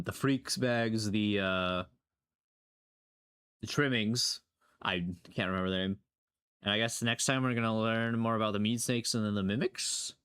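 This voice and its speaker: A man's voice: fairly low pitch, fairly bright tone, smooth. A man streaming casual reaction commentary, rambling and playful, often questioning what he watches. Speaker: streamer reacting to horror videos.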